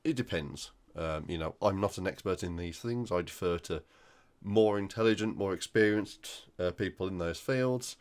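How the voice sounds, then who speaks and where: Croaky, deep-voiced man, recovering from flu. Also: moderate pace, few pauses. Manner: hesitant, many ums and you-knows. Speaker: hobby channel host. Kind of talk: casual news roundup.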